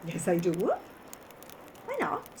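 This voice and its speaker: A woman's voice: fairly low pitch, huge pitch swings, slow pace, a little mellow, slight background noise, full character voice, poor audio. An actress playing a brazen, callous scavenging woman in an audio drama. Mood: brazen, scornful.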